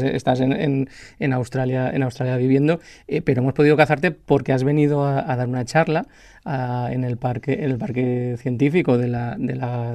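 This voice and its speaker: A man hosting a relaxed interview, friendly, restarting his questions and hesitating often as he asks them. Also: mid-range voice, no room sound, studio quality. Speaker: interviewer, host.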